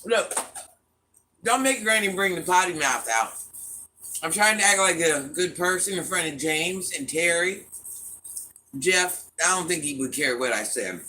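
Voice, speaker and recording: A deep-voiced middle-aged woman hosting a casual livestream, reading viewer comments aloud and talking back to them bluntly and with sass. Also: lively intonation, a little slow, long pauses, bright, forceful tone, a little hiss or hum. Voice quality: deep, smoky voice. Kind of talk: casual live chat.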